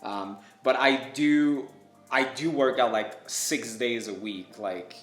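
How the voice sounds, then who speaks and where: A man's voice: mid-range voice, takes it slowly, a bit bright, soft background music. Easygoing Québécois man, echoey room. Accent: Québécois French. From Quebec, Canada. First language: French. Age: 30s. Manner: easygoing, laughs often, says "like" a lot. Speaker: travel vlogger. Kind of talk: casual chat.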